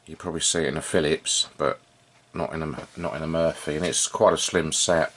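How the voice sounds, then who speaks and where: A man giving an unscripted, informal hands-on demonstration, thinking aloud in a halting way as he works as a hobbyist repairer. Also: extremely low pitch, steady tone, a bit bright. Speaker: vintage radio repair hobbyist and video presenter.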